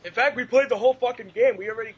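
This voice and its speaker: A man's voice: very high-pitched, racing, slightly rough. A man co-hosting a podcast in casual, crude, profane chat with friends. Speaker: podcast co-host. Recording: muffled lo-fi audio, background noise.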